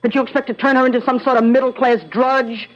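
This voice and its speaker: A woman's voice: high voice, fairly even intonation, fast, lightly acted. Actress as haughty, cutting mother-in-law, muffled recording. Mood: scornful. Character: scornful, domineering middle-aged mother-in-law. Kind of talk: 1970s radio drama acting. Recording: dull 1970s radio recording.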